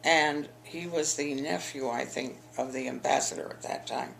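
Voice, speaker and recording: A woman's voice: extremely low pitch, normal speed, creaky, a little hiss or hum. Older woman telling memories, rambling. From eastern United States. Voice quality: rambling storyteller with restarts. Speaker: interviewee, alumna. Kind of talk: oral history interview.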